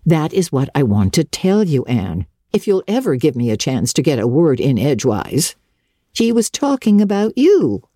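A woman's voice: sits low, sing-song, moderate pace, mellow, no room sound, voice pushed down. A woman voicing a stern, brusque older female guardian in a dramatic storybook reading, dry and scolding in manner. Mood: exasperated.

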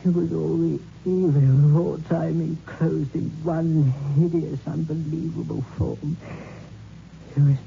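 A traumatised man raving in an old radio horror play. His creaky voice is halting and overwrought, squeezed into a very thin, faintly noisy recording. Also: on the high side, steady tone, phone-quality sound. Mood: horrified raving. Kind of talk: 1960s radio horror acting.

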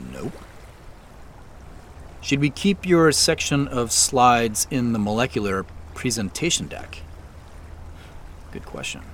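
A man's voice: medium pitch, fairly bright tone, a little hiss or hum. An actor playing a wry, beleaguered office man on the run, narrating his own audio drama in the first person with understated, muttered asides. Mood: muttered, dry. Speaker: actor narrating as main character.

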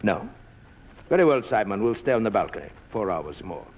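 An actor playing a smooth, menacing crime boss in 1940s radio drama style, mock-polite and coolly amused. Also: male voice, fairly low pitch, big pitch swings, a little mellow. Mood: amused threat. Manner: suave, mock-polite, unhurried threats. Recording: noisy vintage 1940s radio recording.

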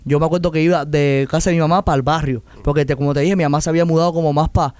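Young cuban guy rattling off stories fast, highish clear voice, dry close mic. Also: no echo. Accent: Cuban Spanish. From Cuba. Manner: animated, acts out quoted voices, restarts often. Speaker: guest artist. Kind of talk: casual interview storytelling.

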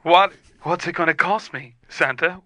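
A man's voice: fairly high pitch, normal speed, bright, forceful tone, dry recording. A man playing an outraged wronged wife. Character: wronged wife demanding answers from Santa. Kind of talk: improvised comedy call-in.

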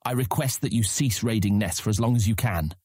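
A man's voice: fairly low pitch, brisk, a little mellow, slightly rough, no echo, studio quality. A man playing a young guildmaster in a fantasy audio drama, earnest and formal, striving to sound authoritative. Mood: earnest pleading. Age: young adult.

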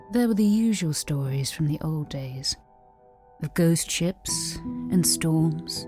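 A woman's voice: low-pitched, mellow. A woman acting a wry lighthouse hotel owner, telling stories conversationally in an audio drama. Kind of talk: audio drama storytelling and dialogue.

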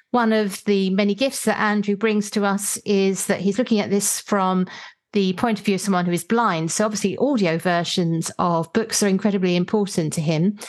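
A woman speaking as a podcast host, introducing and interviewing in an articulate, explanatory way. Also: medium pitch.

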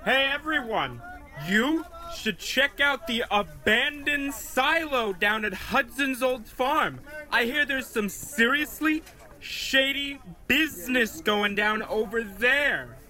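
An actor playing an earnest, oblivious townsman who calls out to passers-by in drawn-out phrases in an audio drama. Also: extremely high pitch, expressive, bright, forceful tone, exaggerated voice. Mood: eager, urgent.

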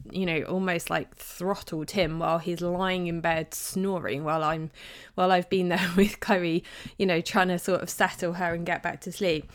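Chatty mum host with a cold. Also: female voice, lowish voice. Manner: chatty, confiding, with many fillers. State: slightly bunged up with a cold. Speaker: podcast host. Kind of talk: casual podcast monologue.

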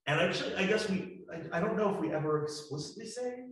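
A man speaking off the cuff in an interview as a creator, halting as he searches for words. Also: high-pitched, expressive. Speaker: podcast creator being interviewed. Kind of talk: unscripted panel interview talk. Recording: very echoey room.

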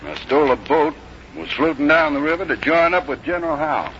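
A man's voice: fairly high pitch, animated, fairly quick, a bit bright. A man acting a brazen, scheming soldier in a 1950s radio drama, speaking insistently. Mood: brazen, excited. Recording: muffled, noisy 1950s radio recording.

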